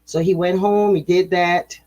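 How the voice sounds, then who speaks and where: A woman hosting a spiritual program, telling a traditional story in a casual, animated way with quick laughs and self-corrections. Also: lowish voice, muffled recording.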